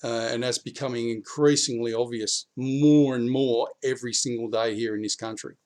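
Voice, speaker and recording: A blunt Australian man with a slightly croaky voice after the flu, talking straight to camera and swearing freely. His voice climbs and gets louder when he presses a point. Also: medium pitch, fairly even intonation, normal speed. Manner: blunt, swears, rising heat when urging. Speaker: prepping vlogger. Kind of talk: casual political talk to camera. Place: outdoors in his backyard.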